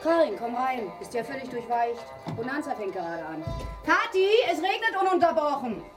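An actress playing a brash, nagging middle-aged East Berlin housewife in a grotesque radio play, sharp-tongued and bickering. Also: female voice, very animated, moderate pace. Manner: sharp-tongued, bickering, swinging from hushed to shrill. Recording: music behind the voice.

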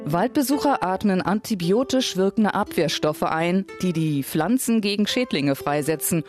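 A man reading scripted documentary voice-over narration in a measured, even manner. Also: high voice, over music.

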